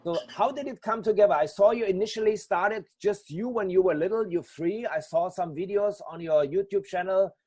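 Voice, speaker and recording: A man's voice: high voice, brisk, hardly any pauses, darkish tone. A man hosting a podcast interview, asking friendly questions that halt and restart. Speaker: podcast host interviewing a band. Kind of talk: casual interview.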